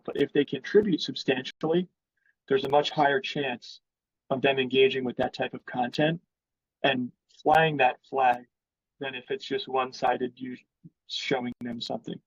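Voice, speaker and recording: A man's voice: mid-range voice, husky, dry recording, dull audio. A man speaking as an interview guest in sales, explaining his thinking in a casual business conversation.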